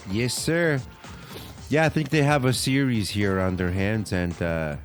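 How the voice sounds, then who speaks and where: A man co-hosting a podcast, chatting casually and informally as he thinks out loud. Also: on the low side, steady tone, measured pace, soft background music. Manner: loose, informal, thinking aloud. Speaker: podcast co-host. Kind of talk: casual pop culture chat.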